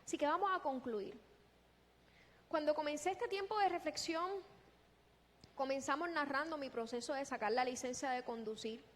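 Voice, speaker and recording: A woman preaching a sermon in a deliberate, emphatic way, posing rhetorical questions. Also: high voice. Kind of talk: sermon with scripture exposition. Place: large echoing church hall. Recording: long reverberant hall sound.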